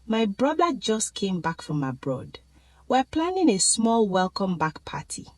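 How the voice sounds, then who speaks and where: Playful, coaxing female school pal, done by a woman storyteller, very expressive, unhurried, for a moral tale. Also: mid-range voice, no echo. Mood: enticing. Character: teasing, chummy woman, old school friend.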